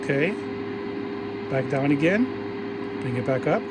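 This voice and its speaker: A man casually narrating a hands-on radio repair tutorial while he works, relaxed and restarting his phrases often. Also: mid-range voice, unhurried. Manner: relaxed, talks while working, frequent restarts. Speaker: vintage radio repair hobbyist. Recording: audible background noise.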